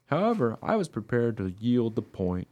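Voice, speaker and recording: A man's voice: mid-range voice, very animated, normal speed, darkish tone, muffled recording, close to an everyday voice. A man voicing a wry, good-natured mongrel dog who narrates his own story conversationally in a radio play.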